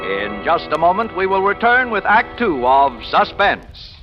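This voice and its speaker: Male vintage radio announcer, high-pitched and theatrical, muffled sound over music. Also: very animated, unhurried. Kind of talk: 1940s dramatic radio announcing. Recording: muffled old radio recording, music behind.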